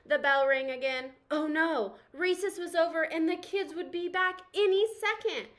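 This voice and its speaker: Bright, high-voiced young woman reading aloud. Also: moderate pace. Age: young adult. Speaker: teacher reading a storybook aloud. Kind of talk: expressive children's read-aloud narration.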